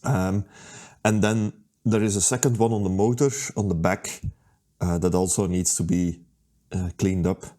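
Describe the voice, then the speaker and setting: Relaxed man explaining a repair. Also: deep voice. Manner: unhurried, thinking aloud with many fillers. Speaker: repair video host. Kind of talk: casual how-to narration.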